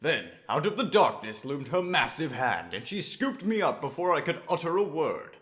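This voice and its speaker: A man's voice: on the high side, very animated, normal speed, fairly bright tone. A man playing an earnest questing knight who tells his own fantasy tale in a dramatic manner, with a wry streak. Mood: fearful, dramatic. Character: earnest questing young male knight, wry and easily startled. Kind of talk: first-person dramatic fantasy narration. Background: music.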